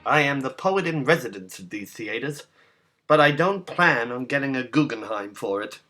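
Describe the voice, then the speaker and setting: A man narrating a short story as a literary reading, in measured, deliberate phrases. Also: mid-range voice, expressive, pushed and bright, faint music behind. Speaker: narrator, reader.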